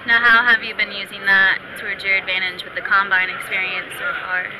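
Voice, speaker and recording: Brisk interviewer in a noisy hall. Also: medium pitch, level tone. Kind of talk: press interview questions.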